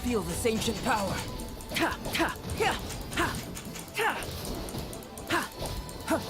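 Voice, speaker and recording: A young fantasy game fighter with a high, very animated voice. She delivers battle cries slowly and with determination, while music plays behind her. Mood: determined, battle-ready. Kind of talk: video game battle barks.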